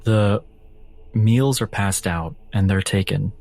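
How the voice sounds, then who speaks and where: A man narrating a tabletop game as game master, with dramatic, suspenseful and deliberate phrasing. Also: lowish voice, creaky, a little mellow.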